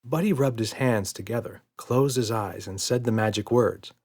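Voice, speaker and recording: A man's voice: on the low side, normal speed, darkish tone. A man reading scripted narration for a children's audiobook as its narrator.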